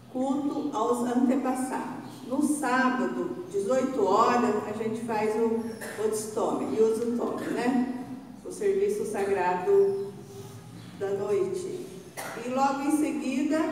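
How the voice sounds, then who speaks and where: An older woman from Brazil giving unscripted church announcements from a stand microphone, slow and halting, with many fillers and restarts. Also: on the high side, a little mellow, far from the mic, a little hiss or hum. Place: large church hall indoors, altar behind. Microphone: stand mic a little off. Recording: echoey hall recorded from afar.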